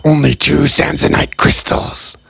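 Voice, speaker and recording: A man's voice: on the high side, little pitch movement, measured pace, vocal fry, fairly bright tone, breathy edge, dry recording, voice pushed up, heavily acted. A man voicing a sly, sarcastic male spirit trader who talks in a gleeful, mock-friendly way and sometimes drops to a whisper.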